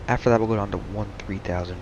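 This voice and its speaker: A man's voice: fairly low pitch, fairly even intonation, fast. A young man casually talking through a flight simulator stream, laid-back and rambling, saying he is losing his voice. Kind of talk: casual live-stream commentary. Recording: muffled stream audio with noise.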